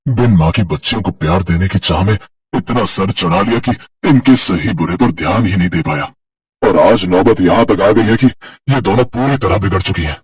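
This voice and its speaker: A man acts an indulgent rich father full of regret in a moral tale. His rueful voice is low and very raspy, recorded dry. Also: normal speed, dry recording. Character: regretful indulgent wealthy father of wayward daughters.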